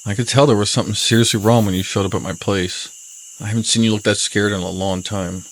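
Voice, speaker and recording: An actor playing a loyal, down-to-earth friend in an audio drama, talking in a casual, concerned way. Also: male voice, fairly low pitch, steady tone, quick pace, a little hiss or hum, natural voice. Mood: concerned, wry. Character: loyal, down-to-earth male friend coming to the rescue.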